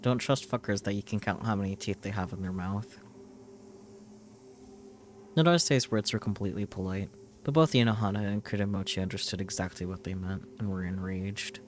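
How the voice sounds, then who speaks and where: Low-voiced man reading aloud, some noise. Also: moderate pace. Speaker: streamer. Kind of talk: reading a visual novel aloud.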